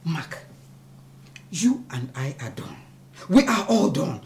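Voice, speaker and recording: A woman's voice: deep voice, sing-song, slow, background noise, heavily acted. An actress playing a wounded, proud middle-aged mother in a film melodrama, speaking emphatically. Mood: angry, defiant. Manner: emphatic, declamatory. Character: wounded, proud elder mother scolding her son. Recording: music under the dialogue.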